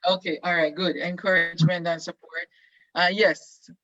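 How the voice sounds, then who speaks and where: A man teaches a class over a video call with a bright, fairly high voice. He encourages his students and keeps asking them questions, filling pauses with "um". The audio is dry and a bit lo-fi. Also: level tone, dry recording. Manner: encouraging, questioning, many fillers. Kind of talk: leading an online class discussion.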